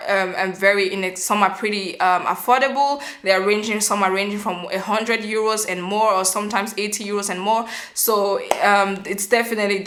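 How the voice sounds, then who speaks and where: A young woman from Cameroon, giving earnest step-by-step advice in a vlog, often pausing on "um" and restarting. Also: medium pitch, pushed and bright. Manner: earnest, explanatory, frequent um fillers and restarts. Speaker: vlogger.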